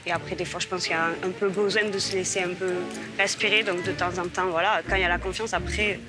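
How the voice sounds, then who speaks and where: A young woman talks quickly and candidly in relaxed chat. Music runs softly in the background. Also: on the low side. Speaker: reality show dater. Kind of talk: casual chat.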